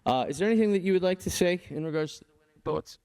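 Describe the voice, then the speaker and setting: A man emceeing a live event, upbeat and hyping the crowd, with a smooth, warm voice. He keeps the proceedings moving. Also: sits high, very fast. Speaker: event host.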